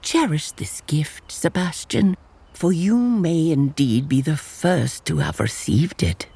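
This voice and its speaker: Actor as a wise tanuki elder, husky, gently lilting, measured, reverent, motion comic storytelling. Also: mid-range voice, animated, exaggerated voice. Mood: tender, solemn. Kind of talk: dramatic motion comic voice acting.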